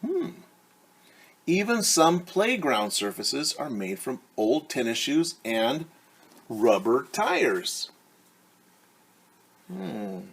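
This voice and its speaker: A middle-aged man with a husky, mid-low voice leads a children's story time. He takes his time, reading aloud and then explaining in simple words, repeating key words for young listeners. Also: big pitch swings, very slow, pauses often, a bit bright. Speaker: teacher reading a picture book aloud. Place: small room at home, indoors.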